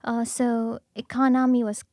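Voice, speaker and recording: A woman's voice: a little high, flat delivery, unhurried, a little mellow, dry recording. A woman in her twenties, raised in Iran, speaking English with an Iranian accent as a guest in a studio interview, careful and hesitant. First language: Persian. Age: 20s. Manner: careful, hesitant, with many "uh"s and some non-native phrasing. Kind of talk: radio interview. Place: radio studio.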